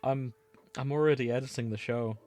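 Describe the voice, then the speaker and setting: A man's voice: medium pitch, steady tone, a little fast, a little mellow. A man hosting a podcast, chatting in a casual, comedic way. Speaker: podcast host.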